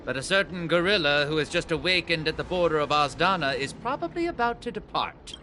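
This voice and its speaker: A man's voice: a little high, very animated, brisk, sharp tone. A man playing a sly, flippant showbiz schemer in a video game, taunting with theatrical delivery. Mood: mocking, smug. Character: sly, mocking showbiz schemer addressing others like actors. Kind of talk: dramatic video game voice acting. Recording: faint background noise and game ambience.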